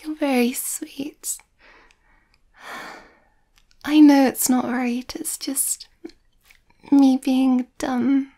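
Shy young werewolf girlfriend played by a woman for ASMR roleplay: high, mellow, nervous, breathy. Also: steady tone, extremely slow, pauses often, exaggerated voice. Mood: shy, anxious. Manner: hesitant, breathy, often near whisper, nervous.

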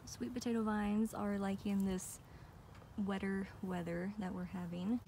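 American lady doing a casual garden tour vlog, chatty n upbeat, medium pitch, phone mic outside w a bit of ambient noise. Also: little pitch movement, a little slow, creaky, warm tone. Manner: chatty, enthusiastic. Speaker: vlogger giving a garden tour. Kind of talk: casual walk-through narration. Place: outdoors in a backyard garden. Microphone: handheld phone behind the camera.

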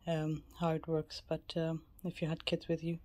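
A woman's voice: deep voice, monotone, moderate pace, mellow. A woman travel vlogger giving a casual, matter-of-fact tour narration of a small cabin. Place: mostly a small cruise ship cabin. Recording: clear background ambient noise.

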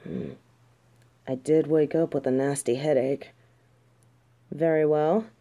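A woman's voice: deep voice, steady tone, takes it slowly, mellow. A woman playing a snobby, prickly fallen angel in an audio roleplay, with a haughty, theatrical manner. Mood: haughty, irritated. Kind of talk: audio roleplay acting addressed to the listener.

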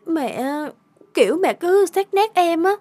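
A woman's voice: very high voice, very animated, unhurried, darkish tone, heavily acted. A woman voicing young women in a cartoon, whiny and coaxing. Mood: complaining. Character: young wife and scheming young mistress. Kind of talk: cartoon dubbing.

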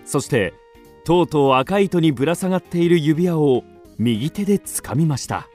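A male narrator tells a children's radio drama in a scripted, storybook style. His voice is a little high for a man and very expressive, with faint music behind. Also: moderate pace.

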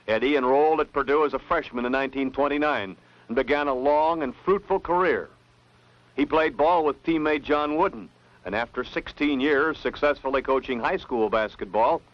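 A man's voice: medium pitch, a bit bright, low-quality recording. An American man reading scripted newsreel narration as an announcer. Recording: 1970s film soundtrack with music. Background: music.

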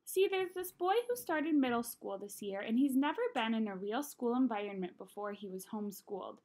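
Woman doing a coaxing storybook mom, gently insistent, really animated pitch. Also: fairly quick. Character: earnest, coaxing mother of a young boy.

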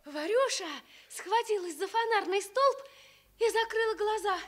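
High-voiced, expressive female storyteller. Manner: expressive, deliberate. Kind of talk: scripted fairy-tale narration for Soviet radio.

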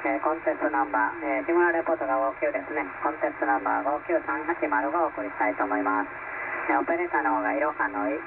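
Male ham operator, polite contest procedure, slightly high voice, distant and buried in radio noise. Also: very fast, creaky. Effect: shortwave radio transmission. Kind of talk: ham radio contest exchange with phonetic call signs. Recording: noisy, narrow shortwave radio reception, distant.

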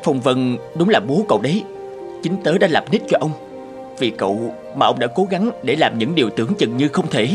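A man voicing a polite, patient young man who explains things in an animated cartoon. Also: mid-range voice, a little fast, over music, lightly acted. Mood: earnest.

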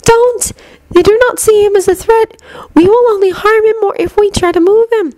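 A woman voicing a calm, caring teenage girl held prisoner in a story, soft-spoken and gently firm. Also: extremely high pitch, normal speed, dry recording, pitched above the performer's own voice. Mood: urgent, hushed.

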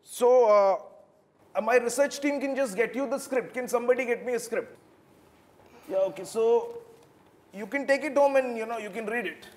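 An actor playing an awkward, self-important parody interviewer, with an Indian accent and a halting, pleading manner. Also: male voice, very high-pitched, darkish tone. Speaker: mock talk show host. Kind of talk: scripted parody celebrity interview.

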